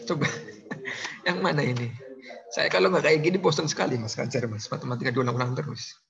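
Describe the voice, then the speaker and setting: A man's voice: medium pitch, creaky, a bit bright, dull audio. A man giving an online math lecture, teasing his students and joking in mock regional accents. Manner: playful, teasing, jokes with students. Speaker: university lecturer.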